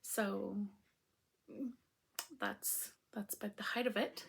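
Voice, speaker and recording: A woman hosting a casual crafting podcast, her voice still croaky after illness, talking in a chatty, rambling way with frequent ums. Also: mid-range voice, takes it slowly, a bit bright. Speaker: knitting podcast host.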